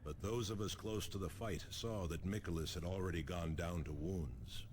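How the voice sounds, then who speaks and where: An actor playing a stern, battle-hardened force commander in a video game, wary and terse. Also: male voice, deep voice, normal speed, a little mellow, slight background noise, natural voice. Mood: reflective.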